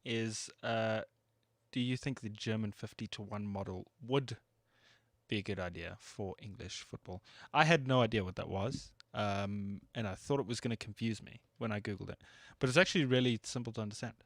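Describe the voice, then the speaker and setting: A man's voice: on the low side, moderate pace, dry recording. A man co-hosting a sports talk show, laid-back and easily amused. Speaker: co-host and producer. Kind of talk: casual radio chat.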